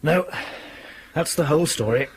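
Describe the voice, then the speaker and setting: An actor playing a weary, remorseful ship's captain in a radio drama, halting and clipped. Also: male voice, a little high, close to an everyday voice, rough recording. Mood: weary, resigned. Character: weary, bitter, remorseful male skipper in command. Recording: radio drama with clear background noise.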